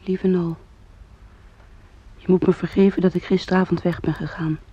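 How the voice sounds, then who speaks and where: An actress in a Dutch radio play playing a resigned, sorrowful young woman, hushed and subdued, as in a farewell letter. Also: on the low side, little pitch movement, moderate pace, husky, dull audio. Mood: sad, resolute.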